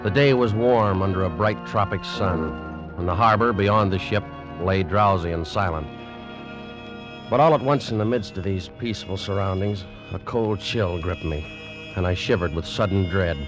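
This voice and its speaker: A man's voice: low-pitched, close to an everyday voice. An actor playing a determined young male traveler in a dramatic 1940s radio style, on an old recording. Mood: tense dread. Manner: intense, deliberate, dramatic. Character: determined, uneasy young male traveler telling his grim tale. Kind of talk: 1940s radio acting, dramatic first-person narration. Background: music.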